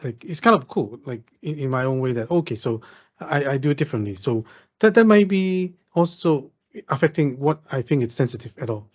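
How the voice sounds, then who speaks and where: A man's voice: mid-range voice, expressive, brisk, darkish tone, lo-fi sound. A man from Vietnam joining a discussion, talking hesitantly with many fillers and restarts. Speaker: participant. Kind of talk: casual chat.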